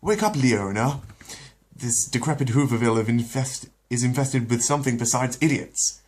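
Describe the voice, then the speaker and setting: A man's voice: mid-range voice, animated, moderate pace, a little mellow, pitched above the performer's own voice, natural voice. A man doing a haughty, scheming baby genius. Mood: indignant. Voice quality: haughty, theatrical.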